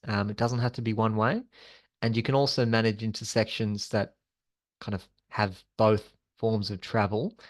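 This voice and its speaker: A man presenting a slide-based training session as an instructor, explaining things in an informal, conversational way. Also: medium pitch.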